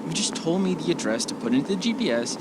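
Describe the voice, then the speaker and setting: A man's voice: on the high side, big pitch swings, fast talker. A man playing an easygoing, reluctant driver in an amateur audio drama, speaking casually, sceptical but agreeable. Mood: defensive. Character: easygoing, reluctant man driving his podcasting partner. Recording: loud vehicle noise behind the voice.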